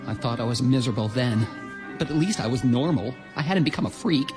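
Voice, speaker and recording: Male actor as awkward nerd of seventeen, mid-range animated voice, hesitant delivery, radio drama with music bed. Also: medium pitch, brisk, close to an everyday voice. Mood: glum. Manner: stammering, hesitant. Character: awkward, self-pitying seventeen-year-old nerdy boy. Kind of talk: radio drama dialogue and narration. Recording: music behind the voice.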